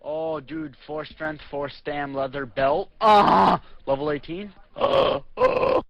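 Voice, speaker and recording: Creaky, slow, highish male gamer voice. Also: no echo, muffled recording. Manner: repeats phrases with loud cries. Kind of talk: repetitive chanting over game voice chat.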